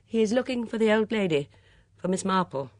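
A woman's voice: medium pitch, expressive, a little fast, no room sound, studio quality, pitched above the performer's own voice, lightly acted. A brittle middle-aged English lady from a storybook, played by a female reader. She is proper, anxious and worn down, with strain and feeling in her voice. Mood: uneasy. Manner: strained, emotional.

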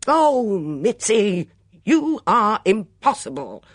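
Woman as a brisk, impatient young woman. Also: mid-range voice, sing-song, no room sound, clean studio sound, pitched above the performer's own voice. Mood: cross. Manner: cross, dismissive.

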